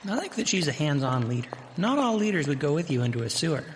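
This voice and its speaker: A man's voice: on the high side, big pitch swings, quick pace. A man playing a simple, earnest pirate henchman in a comic audio drama, folksy and sincere. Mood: earnest, obliging. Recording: background noise of sewer ambience.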